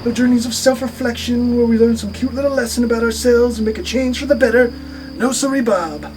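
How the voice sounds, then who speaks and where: Man voicing a high-pitched melodramatic male ghost. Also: fairly quick, noisy background. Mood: scheming, enthusiastic. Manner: grandiose, melodramatic storytelling. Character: theatrical, self-dramatizing male ghost, burnt at the stake. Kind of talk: comedic puppet show acting. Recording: music under the voice.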